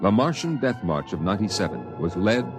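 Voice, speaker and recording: An actor playing a reflective man recalling his boyhood, narrating an old-time radio drama in a grave, measured, dramatic way. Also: lowish voice, sing-song, music in the background.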